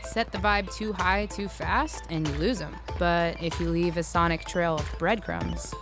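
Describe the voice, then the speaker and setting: A woman's voice: lowish voice, expressive, measured pace. A woman playing a brash, self-assured electronic music artist in a motion comic, slangy and cocky in delivery. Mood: intense, boastful. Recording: voice over clear music.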